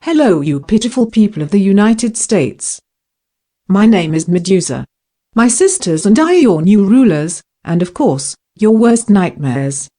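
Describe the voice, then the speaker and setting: A woman's voice: mid-range voice, big pitch swings, a little slow, warm tone. A woman playing a haughty, sinister gorgon queen, sardonic and menacing in a scripted drama. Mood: mocking, menacing.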